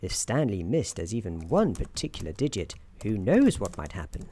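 A soft-spoken woman narrating a scripted ASMR roleplay in a measured, deliberate, theatrical storyteller manner. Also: rumbling low, very animated. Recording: keyboard clicks and faint noise. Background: computer keyboard typing.

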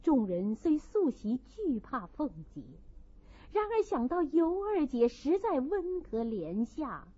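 A woman's voice: sits high, sing-song, slow, warm tone, lo-fi sound. A woman narrating a classic novel as a dramatic audiobook.

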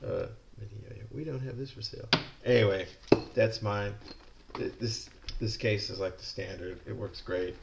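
Gravelly chatty American man. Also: lowish voice, steady tone, normal speed, heavy background noise. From California, USA. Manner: enthusiastic, rambling, chatty. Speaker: yo-yo shop owner. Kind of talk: casual product unboxing. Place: indoor shop room.

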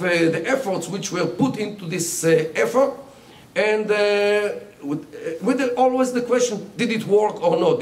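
An older man whose first language is Yiddish, giving a public lecture at a podium with many fillers and restarts. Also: high voice. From Israel. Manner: halting, many fillers and restarts, gestures a lot. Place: lecture hall. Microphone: podium mic. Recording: roomy hall sound from some distance.

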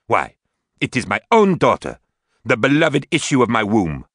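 A male narrator plays a righteous older woman on trial, talking in careful, formal sentences. his voice sits low and dark, and the recording is completely dry. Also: expressive, no room sound. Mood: defiant. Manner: formal, measured speech. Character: proud imprisoned mother on trial, older woman, righteous and unbending.

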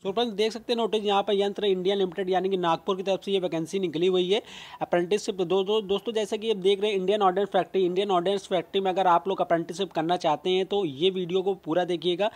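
A man hosting a job news channel, casually walking viewers through a recruitment notice, mixing English phrases into his Hindi and often restarting his sentences. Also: high-pitched. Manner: casual, filler-heavy reading with frequent restarts. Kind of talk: screen-recorded walkthrough of a recruitment notice.

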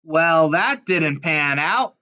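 A woman's voice: low voice, lively intonation, slow, bright, forceful tone, dull audio, voice pushed down, heavily acted. A woman voicing a plucky, folksy cowboy in a children's storybook read-aloud. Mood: let down. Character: folksy, plucky cowboy man thinking problems through.

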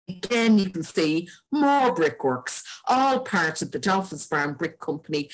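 An older Irish woman presents local history as an informal illustrated lecture. Her voice sits fairly low and has a husky grain. She speaks from home into a webcam on a video call. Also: low-quality recording. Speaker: local historian giving a talk. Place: indoors at home.

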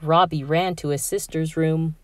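A woman reading a children's story aloud in a measured, expressive way. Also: low voice, no echo, studio quality. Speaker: storybook reader. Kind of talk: children's read-aloud narration.

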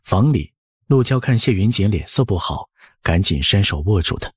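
Low, husky, expressive male narrator. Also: normal speed, no room sound, clean studio sound. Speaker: audiobook narrator. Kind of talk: scripted story narration.

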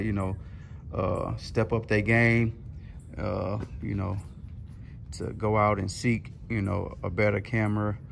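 Laid-back man vlogging, gravelly voice. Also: fairly low pitch, a little hiss or hum. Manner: loose, rambling, lots of fillers. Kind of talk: casual vlog talk to camera. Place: outdoors on a driveway and in a garage. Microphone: handheld camera with foam mic.

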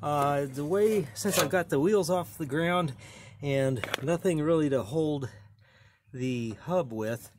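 A man's voice: a little high, slow, noisy background. A man narrating his own car repair in a casual how-to style, plain-spoken and wry, with many fillers and restarts. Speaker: home mechanic showing a car repair.

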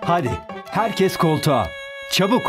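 A man's voice: sits high, big pitch swings, a little slow, over loud music. A man voicing a cheerful, helpful father in a children's cartoon dub, upbeat and playful.

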